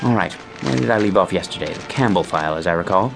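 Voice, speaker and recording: Fussy, harried office worker, swooping voice. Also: male voice, low-pitched, lively intonation, quick pace, vocal fry. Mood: weary, testy. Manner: fussy, exasperated, wordy and formal. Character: fussy, harried, grumbling office worker, a put-upon man. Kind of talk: radio drama acting. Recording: music behind the dialogue.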